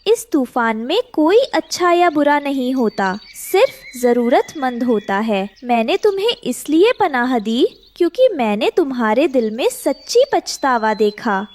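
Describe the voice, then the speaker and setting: A woman's voice: high voice, big pitch swings, measured pace, few pauses, a little mellow. A woman voicing a wise, forgiving cartoon mother bird with a caring, moralizing manner. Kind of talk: cartoon story character dialogue. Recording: clear background noise.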